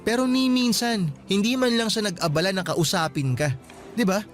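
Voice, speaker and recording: A man's voice: high voice, normal speed, soft background music, close to an everyday voice. An actor playing a young male student boyfriend in a radio drama, playful and teasing but frank when he turns serious.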